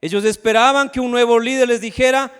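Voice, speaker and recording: A pastor with a high, smooth and resonant voice preaches, often asking his listeners questions directly. His voice carries a long reverb through the church sanctuary. The delivery sounds like a live sermon. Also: steady tone, fairly quick, a bit bright. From Ecuador. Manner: preaching with rhetorical questions and acted asides.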